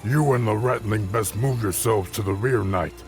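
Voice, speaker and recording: Man playing a low, terse half-ogre warrior. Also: moderate pace, close to an everyday voice. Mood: curt. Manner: curt, commanding. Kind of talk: fantasy audio story character. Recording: loud music behind the voice.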